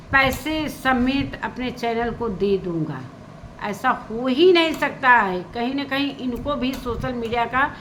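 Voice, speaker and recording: A woman's voice: high-pitched, bright, forceful tone, slight background noise, low-quality recording. A woman vlogger giving casual, opinionated commentary, repeating her points for emphasis. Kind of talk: casual spoken commentary on social media gossip.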